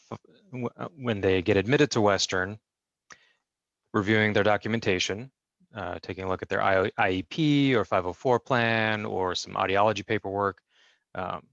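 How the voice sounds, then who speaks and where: A man voices a deaf guest's answers in the first person over a video call, his speech measured and full of fillers and restarts. His voice sits a little low. The audio is dry and muffled. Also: creaky, fairly bright tone, dry recording. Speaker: sign language interpreter voicing a deaf guest. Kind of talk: first-person interpreting in an interview.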